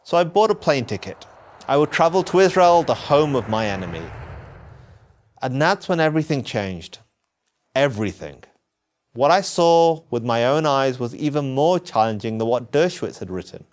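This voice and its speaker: A British man delivering a scripted personal testimony as narration, earnest and emphatic, with deliberate phrasing. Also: mid-range voice, very animated. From England.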